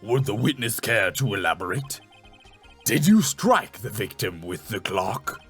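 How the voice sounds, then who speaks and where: Guy putting on an old judge for a court game, stern n solemn, low voice, takes his time. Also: sing-song, a little slow, fairly bright tone, breathy edge, soft background music, full character voice. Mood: commanding. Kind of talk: video game character dub.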